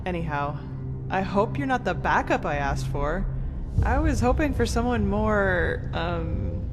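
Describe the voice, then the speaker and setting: A woman's voice: mid-range voice, animated, a little slow, natural voice. A woman voicing a blunt, sarcastic, foul-mouthed female mage researcher in a video game. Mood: wry, dismissive. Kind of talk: video game character dialogue. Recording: reverberant hall, loud music behind.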